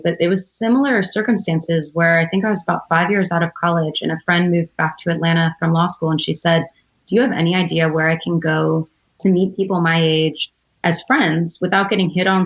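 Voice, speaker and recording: Low-voiced woman on dull, muffled audio. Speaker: interview guest, entrepreneur. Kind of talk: conversational storytelling and advice. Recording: dull, band-limited audio.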